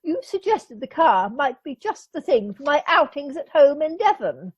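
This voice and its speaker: A woman's voice: sits high, very animated, normal speed. A woman playing a silly, fluttery society lady in a radio play, over muffled video-call audio. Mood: affronted.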